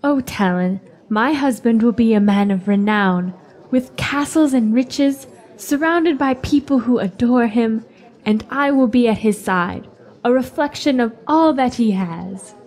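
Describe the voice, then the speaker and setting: Woman as a snooty young village beauty, bit high, airy and ambitious, fantasy audio drama. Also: a little slow, darkish tone, lightly acted. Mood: dreamy, haughty. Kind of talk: fantasy radio drama acting.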